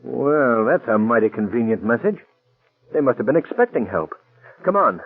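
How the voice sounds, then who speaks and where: A man voicing a calm, self-assured gentleman detective in 1940s radio acting. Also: medium pitch, normal speed, close to an everyday voice. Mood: thoughtful. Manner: composed, assured. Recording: tinny lo-fi old radio broadcast.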